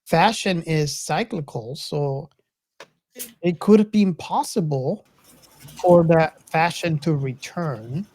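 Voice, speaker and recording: A middle-aged podcast co-host speaks at a medium pitch. The delivery is casual and conversational, that of someone sharing personal opinions in a film discussion. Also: animated, slow, a little mellow.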